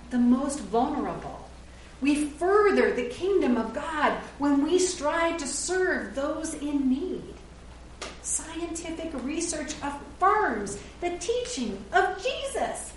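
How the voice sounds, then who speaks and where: Middle-aged lady pastor preaching, kinda high voice, super echoey church, mic a bit far off. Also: expressive, takes it slowly, slight background noise. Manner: earnest, conversational preaching with self-corrections. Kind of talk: sermon. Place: church sanctuary. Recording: roomy echo, heard from a distance.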